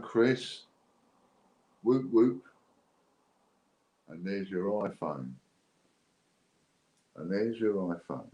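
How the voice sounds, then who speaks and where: A man's voice: low-pitched, dark tone. A British man hosting a giveaway livestream in casual, unscripted, chatty and rambling talk. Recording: dull lo-fi, roomy echo, clear background noise.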